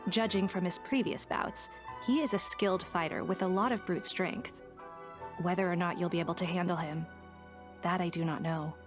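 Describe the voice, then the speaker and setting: A woman playing a composed, businesslike guild clerk in an anime-style video game, measured and formal in delivery. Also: fairly low pitch, steady tone, music in the background, close to an everyday voice. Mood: matter-of-fact. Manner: measured, formal, matter-of-fact.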